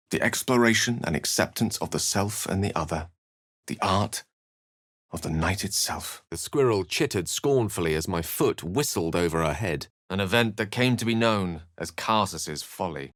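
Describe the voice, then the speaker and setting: A man's voice: deep voice, a bit bright, no room sound, clean studio sound, exaggerated voice. A man acting an eloquent, cultured wizard in a video game, earnest and wry, with articulate, ornate phrasing.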